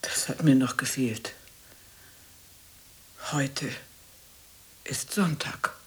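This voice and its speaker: A woman's voice: rumbling low, extremely slow, whispered, full character voice. An actress playing a brusque, solitary woman who guards her peace with curt, dismissive remarks in a radio play. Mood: indignant, dismissive.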